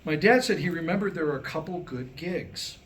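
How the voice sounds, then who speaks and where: A man's voice: on the high side, lively intonation, brisk. A middle-aged man delivering a comedic monologue and telling stories before a live audience at a staged reading. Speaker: playwright performing a staged reading. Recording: live room recording with background noise.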